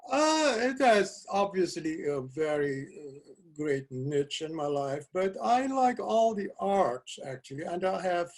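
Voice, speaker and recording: An older man, a Dutch native speaker from South America, talking as a guest poet over a video call from a cluttered home office, soft-spoken and hesitant with restarts. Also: high voice, animated, slow. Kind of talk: casual video call interview. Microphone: video call webcam.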